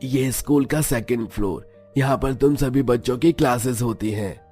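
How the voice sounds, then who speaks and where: A man's voice: mid-range voice, normal speed, warm tone, soft background music, lightly acted. A man playing a stern school attendant in a cartoon story, speaking firmly and instructively. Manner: firm, instructive. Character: stern male school peon giving warnings. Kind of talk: Hindi cartoon story dialogue.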